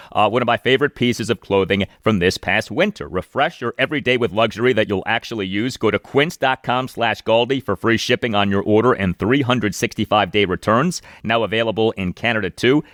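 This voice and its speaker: A man's voice: mid-range voice, a bit bright, no echo, studio quality. A man hosting a sports podcast in a conversational, scripted-yet-personal presenting style. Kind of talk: conversational hosting and host-read ads.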